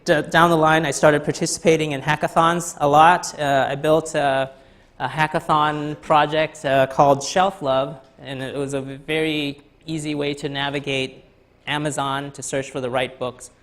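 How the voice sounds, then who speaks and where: A man from Thailand in his thirties giving a conference talk in a lecture hall, halting with many fillers and restarts. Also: a little high, little pitch movement, fairly bright tone. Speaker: guest speaker.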